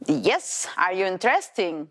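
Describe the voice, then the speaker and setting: A woman in her thirties with an Argentine accent, a Spanish speaker telling her story as a tango teacher in halting English with many restarts. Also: mid-range voice, big pitch swings, creaky. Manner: non-native English, halting restarts, laughs while telling. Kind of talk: personal storytelling.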